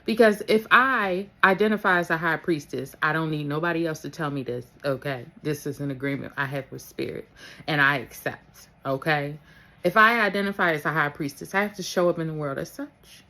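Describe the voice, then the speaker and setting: A woman's voice: low voice, brisk, sharp tone. A woman speaking as a spiritual coach in a casual, motivational talk to her followers, informal and emphatic, often checking in with her listeners. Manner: informal, emphatic, addresses listeners with "okay" and "honey".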